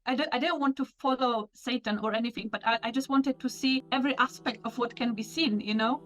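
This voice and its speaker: A woman's voice: fairly high pitch, a bit bright, low-quality recording. Reflective woman telling her story. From Poland. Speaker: interview guest. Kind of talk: personal conversion story.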